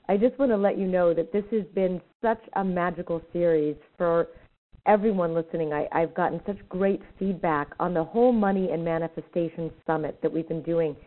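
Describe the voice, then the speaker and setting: A woman's voice: fairly low pitch, narrow, tinny audio. Effusive woman host on a thin line. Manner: warm, effusive, slightly rambling. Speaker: talk show host. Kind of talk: radio interview.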